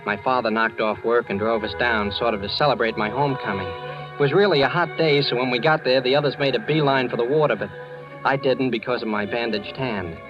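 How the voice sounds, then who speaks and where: A low-pitched, boyish young American man, acted by a male radio player, nervous and evasive yet earnest. He speaks in 1940s radio drama style on a dull old recording with music. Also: fairly quick, lightly acted. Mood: confiding. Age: young adult. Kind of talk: 1940s radio acting with first-person narration.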